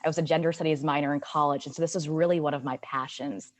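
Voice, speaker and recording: Woman, thirties, low pitch, founder on panel, earnest, video call. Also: fairly even intonation, quick pace. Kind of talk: webinar panel talk.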